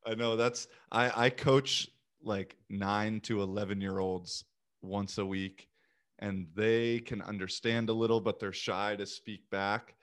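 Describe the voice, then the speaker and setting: A man speaks in a fairly low voice. He is chatting casually, with an informal, loose way of talking full of "like" and "you know". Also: steady tone, a little slow, fairly bright tone. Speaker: youth sports coach and language learner. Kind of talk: casual conversation.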